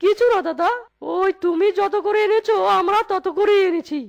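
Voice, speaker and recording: A woman's voice: very high-pitched, fairly even intonation, moderate pace, dull audio. A woman voicing a sly, hungry younger fox son-in-law in a comic Bengali cartoon, hesitant and complaining. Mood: evasive. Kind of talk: Bengali animated comedy story dialogue.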